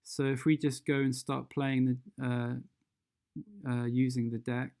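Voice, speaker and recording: A software tutorial presenter talking through steps unscripted and hesitantly, thinking aloud while demonstrating. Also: medium pitch, little pitch movement, a little slow, clean tone.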